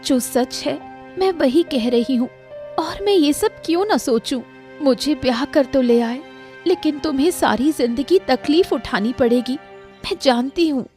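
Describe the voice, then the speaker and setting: A woman voicing a gentle, self-doubting young wife in a cartoon story, earnest and plaintive. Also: high voice, unhurried, faint music behind. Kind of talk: Hindi cartoon story voice acting.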